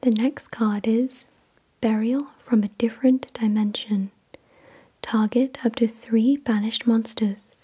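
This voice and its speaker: A woman whispering softly and breathily in ASMR style, reading aloud in a deliberate, measured way. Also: on the high side, steady tone, slightly rough. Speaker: ASMR video creator and host. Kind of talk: soft-spoken ASMR, reading card text aloud.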